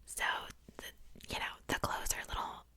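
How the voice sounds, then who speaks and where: A woman's voice: moderate pace, sharp tone. A young adult woman hosting ASMR, whispering casually in a rambling, informal show-and-tell with self-joking asides. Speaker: ASMR video host.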